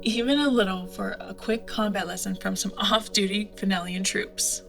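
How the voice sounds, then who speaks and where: An actress plays a streetwise young woman who flies an airship, tough and protective of her own. She speaks in audio drama dialogue, with a defiant edge. Clearly audible music runs underneath. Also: medium pitch, fairly even intonation, moderate pace, a bit bright. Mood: resolute, confiding.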